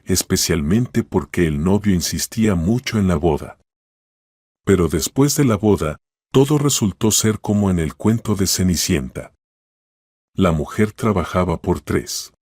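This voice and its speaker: A man's voice: sits low, a little mellow, no echo, clean studio sound. A man reading a scripted story as a narrator.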